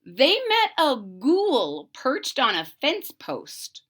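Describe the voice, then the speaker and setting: Woman storybook reader, high voice, slow deliberate delivery, animated, child-directed asides. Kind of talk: expressive read-aloud for young children, with asides.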